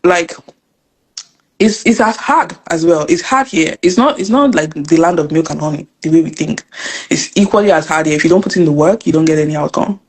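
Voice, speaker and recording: A young woman from Nigeria with a Nigerian accent, telling her story as an interview guest in an earnest, animated way. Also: deep voice, breathy edge. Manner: fast, earnest, animated storytelling with repeated phrases. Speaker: guest, financial educator and business coach. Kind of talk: casual interview conversation.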